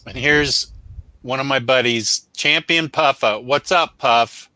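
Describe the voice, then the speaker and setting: A man's voice: mid-range voice, takes it slowly, pushed and bright, no echo. A man co-hosting a casual, unscripted review show, chatting with viewers in a blunt, opinionated, emphatic way. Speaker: co-host of a comic book review show.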